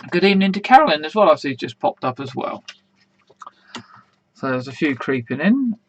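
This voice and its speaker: A man hosting an online quiz in a casual, rambling way, full of fillers, with a slightly croaky voice. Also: fairly high pitch, sing-song, moderate pace, muffled recording. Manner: chatty, rambling, many fillers and restarts. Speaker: quiz host.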